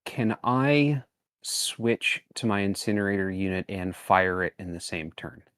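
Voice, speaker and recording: A man with a fairly low voice talks casually as a player at a tabletop game. The recording is close and dry, with almost no room sound. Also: expressive, measured pace, fairly bright tone, clean tone, dry recording.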